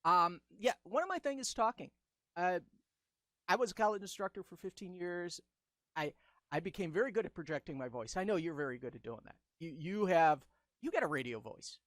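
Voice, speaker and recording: A middle-aged man hosting a casual video-call chat, with a high-pitched, squeaky voice and a chatty, enthusiastic way of going down his list. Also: animated, fairly quick, fairly bright tone, no room sound. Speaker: host.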